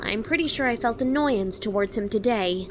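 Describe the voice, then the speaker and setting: A woman's voice: fairly high pitch, moderate pace, background noise, close to an everyday voice. A woman voicing an earnest, naive young female robot in a comic dub, formal, literal and a little hesitant. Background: prominent music.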